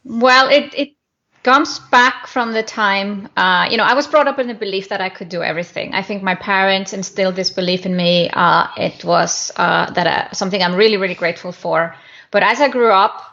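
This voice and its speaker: A woman's voice: medium pitch, fairly even intonation, moderate pace, gravelly, sharp tone. A woman with an Icelandic accent, a guest business coach giving a conversational account of herself from her home office. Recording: dull, lo-fi online call.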